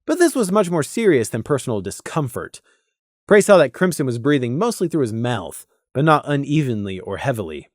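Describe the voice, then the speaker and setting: A woman's voice: a bass voice, big pitch swings, a little fast, a little mellow, no room sound, studio quality. A woman reading scripted story narration as an audiobook narrator.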